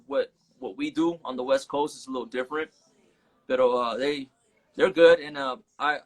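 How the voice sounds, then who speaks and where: A man's voice: a little high, fairly bright tone, low-quality recording. A man from Las Vegas, a guest rapper, talking casually in a live-stream interview and mixing Spanish words into laid-back street talk. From Las Vegas, USA. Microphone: phone on a live stream.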